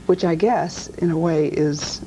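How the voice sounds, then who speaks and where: A woman hosting a talk show, asking her guests questions in an upbeat, enthusiastic way. Her voice is low and mellow. A faint hiss sits behind her. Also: unhurried, low-quality recording.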